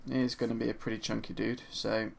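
A man with a slightly hoarse voice from a sore throat narrates a screen-recorded 3D sculpting tutorial in a relaxed, chatty way, with frequent ums and uhs. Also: on the low side, brisk. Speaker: 3D art instructor. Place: at his desk, voice-over for a screen recording.

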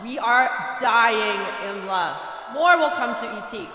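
A man as a forceful, defiant alien rebel. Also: very high voice, expressive, takes it slowly, exaggerated voice. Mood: angry, defiant. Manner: forceful, declamatory. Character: defiant, bitter young alien tribesman, male. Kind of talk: radio drama acting. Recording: cavernous echo, faint music.

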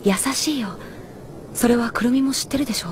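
An actress playing a caring young boy in an audio drama, speaking softly and reassuringly. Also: a little high, fairly quick, background noise, lightly acted. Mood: tender, reassuring. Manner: soft, tender, reassuring. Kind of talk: anime-style audio drama acting.

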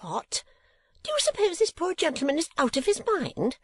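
A woman reading an audiobook, voicing a fussy, excitable middle-aged mother who talks with shrill, insistent, swooping emphasis. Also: moderate pace, dry recording, heavily acted. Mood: indignant. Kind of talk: audiobook character voice.

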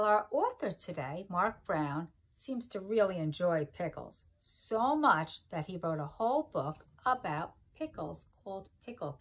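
Slow-paced woman reading to children. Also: fairly low pitch. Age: middle-aged. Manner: slow, deliberate, sing-song delivery addressed to young children. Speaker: teacher reading a picture book aloud to children. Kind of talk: expressive children's read-aloud with chatty asides. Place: kitchen-like room at home, indoors.

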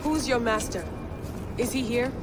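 A woman's voice: on the high side, a little slow, lightly acted. Woman playing a focused, determined explorer. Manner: clipped, focused and practical. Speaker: explorer on a mission. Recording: game cutscene with loud music behind.

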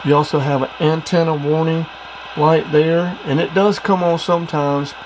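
A man's voice: a little high, fairly even intonation, moderate pace, a little mellow, rough recording. A man giving a casual demonstration of gear as a hobbyist. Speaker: radio hobbyist reviewing gear. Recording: clear background noise.

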